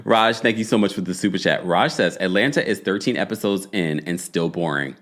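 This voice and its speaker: A man hosting a casual live recap of a reality show, gossipy and animated, with quick asides to his chat. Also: deep voice.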